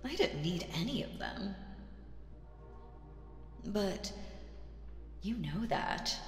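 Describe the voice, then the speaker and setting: A woman playing a seductive, imperious demon queen in an audio roleplay, teasing and condescending, with drawn-out phrasing and breathy asides. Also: mid-range voice, sing-song, exaggerated voice. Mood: possessive, wistful. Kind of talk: slow roleplay audio drama monologue with whispers. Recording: echoey reverberant hall with music behind.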